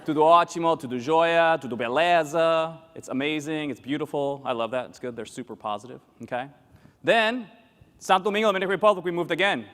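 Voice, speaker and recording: A man in his forties giving a lively conference keynote, joking and quizzing the audience as he talks. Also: fairly high pitch, quick pace. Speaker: keynote speaker. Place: large conference hall with audience at tables. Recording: long reverb of a large hall.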